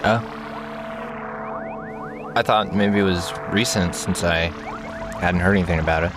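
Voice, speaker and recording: An earnest, easily irritated older brother, music underneath. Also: male voice, deep voice, a little slow. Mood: supportive. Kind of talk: naturalistic audio drama dialogue.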